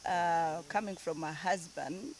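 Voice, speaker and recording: A woman's voice: lowish voice, slow, a little mellow. Woman, from Uganda, raised in Denmark, interview guest, easygoing, laughs often, faint background noise. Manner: mixes English and Luganda, easygoing, laughs often. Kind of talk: casual interview chat.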